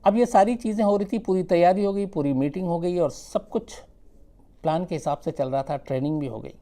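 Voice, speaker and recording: A man telling a true-crime story without a script, conversational and suspenseful, with dramatic pauses. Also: sits high, dark tone.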